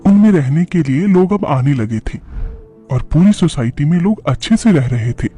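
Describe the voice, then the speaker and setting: Male narrator for scripted animated horror, mellow timbre, light music bed. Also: on the high side, moderate pace, husky. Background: faint music.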